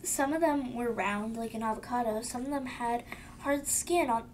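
Female co-reader, high pitch, picture book read-aloud with small stumbles and restarts, slight background noise. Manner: halting reading with stumbles and self-corrections.

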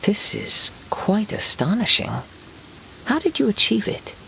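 A man voicing a gentle, serene young wandering poet swordsman in a game, with measured, formal, poetic phrasing. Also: sits high, vocal fry, full character voice. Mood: composed, assured. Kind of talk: scripted game dialogue, storytelling. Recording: steady rain noise behind voice.

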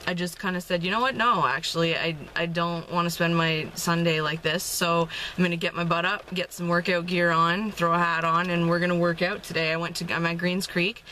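A woman's voice: fairly low pitch, steady tone, a little fast, hardly any pauses, pushed and bright, slight background noise, poor audio. Chatty young woman vlogging from her car. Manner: chatty and upbeat, lots of "um" and laughs. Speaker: fitness vlogger. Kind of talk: casual vlog talk to camera. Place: inside a parked car. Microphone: camera held at arm's length. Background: rain outside.

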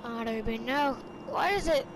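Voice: medium pitch, moderate pace, background noise. A player chatting casually in improvised roleplay during a video game, over a home gaming voice chat.

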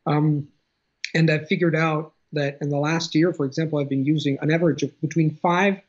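Man, Italian accent, thirties, husky voice, home video call, muffled lo-fi audio. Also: fairly high pitch, fairly even intonation, a little fast. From Italy. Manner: thoughtful, restarts phrases, gestures with hands. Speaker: interview guest, polyglot. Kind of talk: casual conversation. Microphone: video call webcam.

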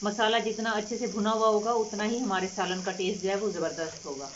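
A woman cooking-channel host explaining a home recipe step by step in a calm, unhurried way with frequent fillers. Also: medium pitch, muffled recording, noisy background. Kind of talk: recipe instruction over cooking footage. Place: home kitchen.

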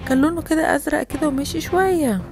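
Woman as an anxious, fast-talking Egyptian gossip. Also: high voice, music in the background. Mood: worried, gossiping. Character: alarmed, gossiping townsfolk parent spreading monster rumours. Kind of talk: children's story acting.

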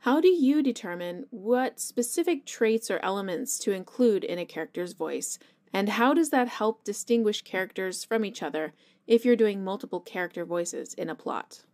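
Female host, medium pitch, posing prepared interview questions. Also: lively intonation, normal speed, a little mellow.

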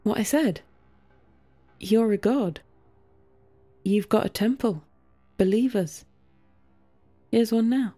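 A woman's voice: a little high, slow pace, pauses often, mellow, soft background music. A woman voicing a blunt, casual guide to the dead in a story, explaining things matter-of-factly. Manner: matter-of-fact, offhand.

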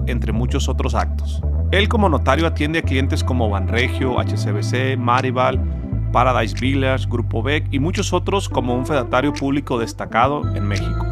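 A man in his thirties with a Mexican accent, hosting a podcast interview in a studio, talking with lively energy and frequent restarts. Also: medium pitch. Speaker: podcast host. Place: studio with wood-paneled wall. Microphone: studio mic on boom arm.

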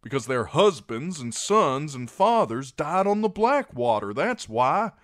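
Male narrator as blunt honest fantasy knight, impassioned, insistent, raised animated pitch, rhetorical. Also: unhurried, no echo, clean studio sound. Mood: impassioned, pleading. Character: earnest, blunt, plain-spoken male knight pleading for mercy.

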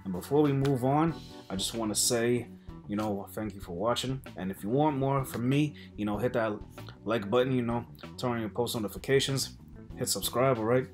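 A man hosts a reaction video in a casual, colloquial way. His voice sits at an ordinary middle pitch. He addresses the viewers directly and informally. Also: moderate pace, soft background music. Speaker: host of a reaction channel. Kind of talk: casual vlog commentary. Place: indoor room at a computer.

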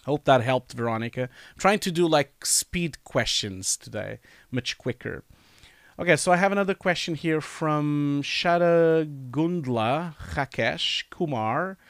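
A man's voice: a little high, a little slow, a bit bright, no room sound, clean studio sound. A man in his forties with a nasal, scratchy cold voice, hosting a casual live Q&A from a home study and reading chat questions aloud with many fillers. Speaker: live stream host answering chat. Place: home study with bookshelves, indoors. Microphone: studio mic on boom, wearing headphones.

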